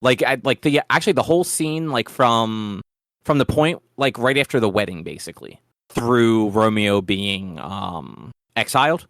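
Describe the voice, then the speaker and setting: A man's voice: medium pitch, moderate pace, a bit bright, no echo, studio quality. A man co-hosting a podcast, chatting casually about films in a rambling, swearing way. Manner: rambling, profane. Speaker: podcast co-host. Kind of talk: casual film discussion chat.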